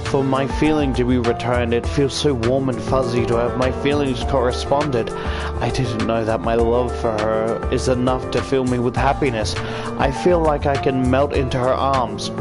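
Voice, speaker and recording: A man reading a visual novel aloud as a YouTuber, stumbling now and then and adding casual commentary. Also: medium pitch. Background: game music.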